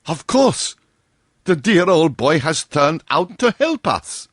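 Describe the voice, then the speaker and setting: A man voicing an excitable older male colonel who exclaims with a catch in his voice. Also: a little high, takes it slowly, husky, no room sound, clean studio sound, pitched above the performer's own voice, full character voice. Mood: startled.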